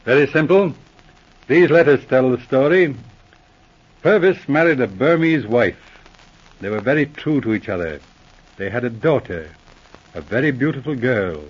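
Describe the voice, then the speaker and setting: An actor playing a brisk, no-nonsense male police inspector in a 1940s radio drama, who speaks in a crisp, commanding, matter-of-fact way. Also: medium pitch, lively intonation. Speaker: lead investigator. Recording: dull lo-fi 1940s radio recording.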